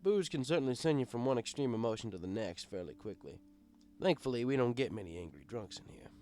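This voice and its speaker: Male narrator, mid-pitched, relaxed conversational bartender storytelling, music underneath. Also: fast talker. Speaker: audiobook reader.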